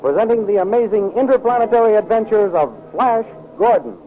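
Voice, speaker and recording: A man's voice: sits high, lively intonation, a little fast. Dramatic old-time radio announcer man. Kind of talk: 1930s radio serial narration. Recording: tinny old radio transcription.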